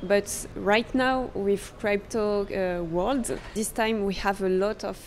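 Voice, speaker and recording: A French-accented woman interviewing a guest in English at a conference, pausing often to search for her words. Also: medium pitch, fairly even intonation, darkish tone, a little hiss or hum. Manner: halting, searching for words with many fillers. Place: crypto conference venue.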